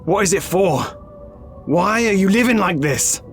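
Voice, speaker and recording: A man's voice: high voice, lively intonation, unhurried, a bit bright, faint music behind, heavily acted. A man playing a cynical, defiant veteran soldier in an audio drama, insistent and persuasive, pressing with pointed questions. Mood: bitter, insistent.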